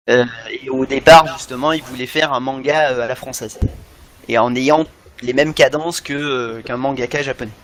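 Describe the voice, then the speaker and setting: A man's voice: medium pitch, fairly bright tone. A man in a casual podcast discussion, often repeating himself as he searches for words. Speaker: podcast co-host.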